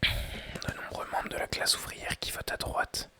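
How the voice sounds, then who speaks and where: A man in his twenties whispering ASMR-style as he thinks aloud through quiz questions, hesitant and rambling with many pauses and restarts. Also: pushed and bright. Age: 20s. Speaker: ASMR creator.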